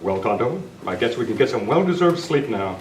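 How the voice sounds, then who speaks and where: Guy doing an earnest heroic cowboy lawman, radio western style, medium pitch, roomy distant sound. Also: sing-song, a little fast, darkish tone, natural voice. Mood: resolute. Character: Lone Ranger, upright, earnest masked western lawman. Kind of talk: old-time radio western script reading. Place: club meeting room, live presentation. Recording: echoey, noisy room, distant mic.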